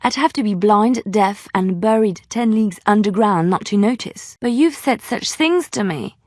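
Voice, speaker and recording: A woman's voice: mid-range voice, expressive, moderate pace, darkish tone, no echo, clean studio sound, natural voice. A woman playing an earnest, tender-hearted young adventurer in a fantasy video game, speaking hesitantly and sincerely. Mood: accusing. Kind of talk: dramatic video game dialogue.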